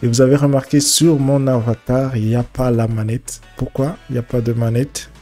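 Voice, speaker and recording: A man with a head cold giving casual, halting commentary as he streams a football video game, often repeating his words as he thinks aloud. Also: medium pitch, little pitch movement, warm tone, soft background music. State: congested with a cold. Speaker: gaming streamer.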